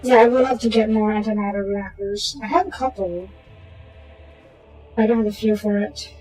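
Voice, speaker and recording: A woman with a slightly hoarse voice, casually talking through a strategy game and muttering to herself as she plays. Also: medium pitch, moderate pace, faint music behind, poor audio. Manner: muttering to herself while playing, trailing off into quiet asides. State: hoarse from a sore throat. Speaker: gaming channel host. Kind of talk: casual gameplay commentary.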